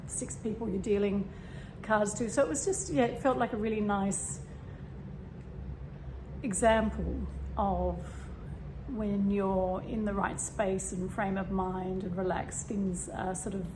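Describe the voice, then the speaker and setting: Croaky woman over fan hum. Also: medium pitch, a little slow. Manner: relaxed, meandering, frequent fillers and throat clearing. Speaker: spiritual vlogger. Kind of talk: casual spiritual talk. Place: home room with a fan running. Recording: steady fan hum behind. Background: fan noise.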